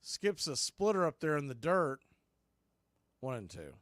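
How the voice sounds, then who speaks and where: A man calling baseball play-by-play as a radio announcer, in clipped, folksy phrases that range from quiet to excited. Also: on the high side, measured pace, dry recording, clean studio sound. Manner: swings from hushed calls to excited shouts. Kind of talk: live radio play-by-play commentary.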